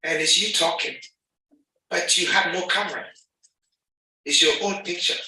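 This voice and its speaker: A man with a Ghanaian accent speaking to an audience, animated and acting out the lines of the people he quotes. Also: a little high, normal speed. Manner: animated, acts out dialogue. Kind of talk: lively talk to an audience. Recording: echoey hall, mic at a distance.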